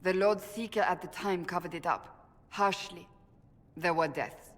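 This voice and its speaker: A woman's voice: fairly low pitch, a little slow, fairly bright tone. A woman playing a stern, earnest warrior of a holy order in a fantasy game, speaking in a formal, intense way. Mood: grave. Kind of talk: dramatic fantasy game acting. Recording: reverberant hall with faint ambient noise.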